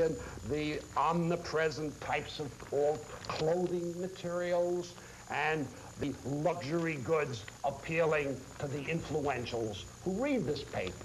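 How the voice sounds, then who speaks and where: Slow, deliberate older man. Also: a little high. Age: 60s. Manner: deliberate, emphatic, phrase-by-phrase delivery. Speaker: media critic presenter. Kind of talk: scripted-sounding critical commentary to camera. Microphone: lapel mic. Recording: noisy old 1980s videotape.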